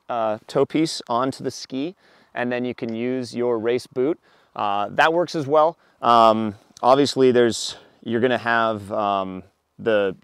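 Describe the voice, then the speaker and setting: A man in his thirties, an endurance coach, explaining roller ski gear outdoors by a forest road, relaxed, with frequent fillers. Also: mid-range voice, clean tone, no room sound. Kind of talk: outdoor equipment tutorial.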